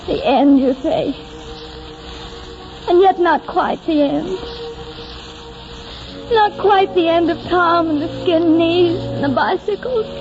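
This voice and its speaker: A woman's voice: very high voice, slow pace, pauses often, darkish tone, exaggerated voice. An actress playing a tender, earnest young small-town woman in 1940s radio drama style. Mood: tender, resolute. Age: young adult. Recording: muffled 1940s radio recording with music.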